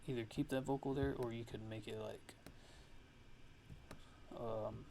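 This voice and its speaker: A man giving a casual, hushed ASMR-style software tutorial, explaining things in a halting, unscripted way. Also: medium pitch, steady tone, gravelly, slight background noise. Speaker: music production tutorial host.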